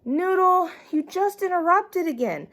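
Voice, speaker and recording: A woman's voice: very high voice, animated, a bit bright, pitched above the performer's own voice, full character voice. A woman reading aloud, voicing a schoolteacher who patiently reprimands a child. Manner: slow, drawn-out reprimands.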